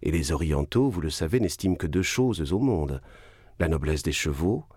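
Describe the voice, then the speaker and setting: An actor reading the part of a worldly count who talks in a calm, gallant and slyly ironic way. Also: male voice, extremely low pitch, moderate pace, husky, dry recording, clean studio sound, exaggerated voice.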